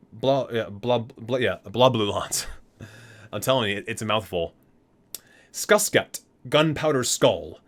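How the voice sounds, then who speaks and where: A man hosts an ASMR read-aloud at a middle pitch that moves around a lot. He trips over words, corrects himself and drops in casual asides. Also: a bit bright. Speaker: ASMR video host.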